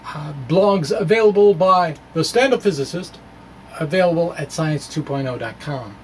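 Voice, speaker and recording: A man's voice: fairly high pitch, normal speed. Quirky middle-aged man presenting science, faint noise. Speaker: host of a physics video blog. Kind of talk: dramatic reading of a science blog with casual asides. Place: small indoor room with bookshelves. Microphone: stand microphone just below the face.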